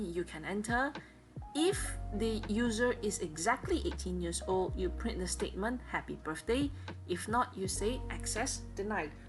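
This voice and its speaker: A woman teacher patiently walking students step by step through programming exercises in a screen-recorded lesson. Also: medium pitch.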